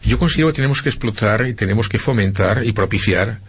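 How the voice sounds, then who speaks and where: A man's voice: fairly low pitch, fast talker, breathy edge. A middle-aged man from Spain with a slight Argentine lilt, answering interview questions earnestly with many hesitations and repeats. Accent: Spanish, with a slight Argentine lilt. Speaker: local politician interviewee. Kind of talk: interview conversation.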